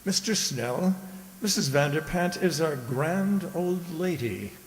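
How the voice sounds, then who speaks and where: A man playing a courteous, fussy gentleman in a radio drama, polite and formal with a hesitant deference. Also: fairly high pitch, expressive, slow pace. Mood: deferential. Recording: reverberant hall with faint hiss.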